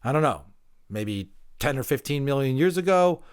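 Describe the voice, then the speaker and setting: A man playing an educated, unsure male pupil in an audiobook, halting as he thinks aloud and gropes for words. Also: medium pitch, expressive, clear, resonant tone, pitched above the performer's own voice, close to an everyday voice. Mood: uncertain, groping. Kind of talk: dramatic audiobook character acting.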